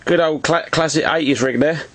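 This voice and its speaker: A man's voice: a little high, a little fast, fairly bright tone. A man making casual radio contact from home, using jargon and often repeating "Roger". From England. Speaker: amateur CB radio operator filming his contacts. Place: indoors at a home radio set. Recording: faintly noisy phone video beside radio.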